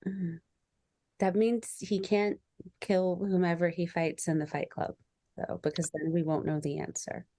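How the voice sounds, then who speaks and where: A woman improvising a cool, ruthless sister from a 1950s dynasty in a tabletop roleplay, deliberate and matter-of-fact. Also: lowish voice, little pitch movement, warm tone, no echo. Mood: coolly pragmatic. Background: background music.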